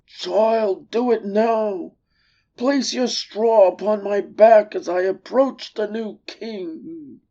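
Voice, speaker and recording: A woman voicing a weary, proud old camel in a storybook, groaning with self-pity in a drawn-out, dramatic way. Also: mid-range voice, mellow, highs cut off, pitched above the performer's own voice. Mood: resigned, kindly. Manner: slow, drawn-out, dramatic delivery.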